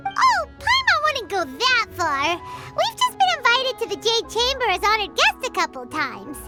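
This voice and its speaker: Squeaky, excitable little fairy companion. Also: female voice, unhurried, loud music behind the voice, full character voice. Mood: chatty, casual. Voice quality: squeaky, childlike. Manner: speaks of self in third person, animated. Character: small excitable floating fairy companion, female, childlike and chatty. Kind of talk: video game character dialogue.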